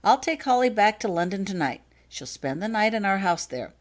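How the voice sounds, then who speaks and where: A woman voicing a courteous, quietly commanding wizard father in an audiobook story, speaking smoothly and persuasively. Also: mid-range voice, very animated, normal speed, voice pushed up, close to an everyday voice. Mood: persuasive, decisive. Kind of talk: acted fanfiction audiobook dialogue.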